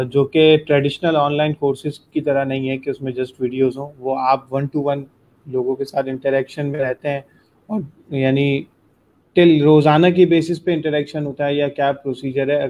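A man's voice: medium pitch, darkish tone, low-quality recording. A man hosting an interview, asking long, polite questions. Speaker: interview host.

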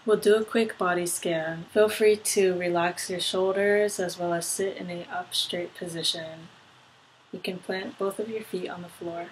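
A woman leading a guided meditation, giving gentle step-by-step instructions. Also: fairly low pitch, steady tone, measured pace, poor audio. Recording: clear background noise, ambient sound.

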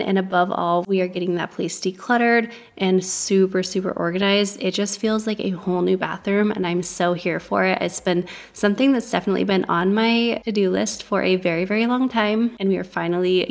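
Chatty upbeat woman vlogger, slight creak. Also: mid-range voice, fairly even intonation, fairly quick, few pauses. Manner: enthusiastic, chatty, addresses viewers directly. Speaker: homemaking vlogger. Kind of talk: upbeat cleaning vlog talk.

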